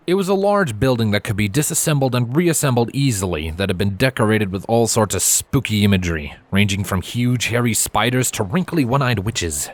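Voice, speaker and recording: A man's voice: lowish voice, no echo. A man reading a scripted story aloud as its narrator. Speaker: fan fiction narrator. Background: background music.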